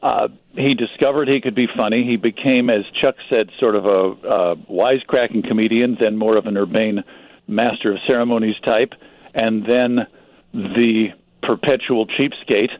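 An American man giving conversational expert commentary as a radio guest, thoughtful and halting, often repeating words as he goes. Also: fairly low pitch, steady tone, dry recording. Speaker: radio guest, magazine publisher. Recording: dull band-limited radio line.

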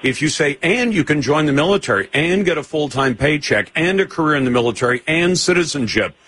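A man's voice: a little high, lively intonation, fairly quick. Male radio host, combative call-in style, faint background hiss. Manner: forceful, interrupts and presses the caller with questions. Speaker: radio talk show host.

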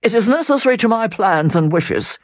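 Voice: medium pitch, very animated, moderate pace, dry recording, studio quality, pitched above the performer's own voice. A reader voicing a sulky, hot-tempered aristocratic husband who speaks in an irritable, blustering way. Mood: angry.

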